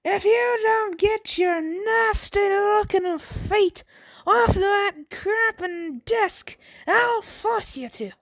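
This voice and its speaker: A woman voicing an angry, bossy schoolboy in a story, scolding slowly and emphatically with a put-on Irish accent. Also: very high voice, no room sound, voice pushed up, heavily acted. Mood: furious. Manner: indignant, scolding, slow emphatic delivery.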